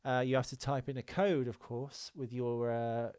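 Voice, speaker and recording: A man's voice: mid-range voice, little pitch movement, normal speed, a little mellow, smooth, no echo, studio quality. A British man co-hosting a podcast, explaining things informally and swearing freely in casual conversation. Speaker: podcast co-host.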